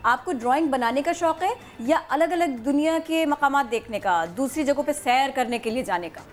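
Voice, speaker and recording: A woman's voice: high voice, moderate pace, faint music behind. A woman co-hosting a morning TV show, speaking to a child guest in a fond, coaxing way. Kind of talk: television interview. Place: television studio.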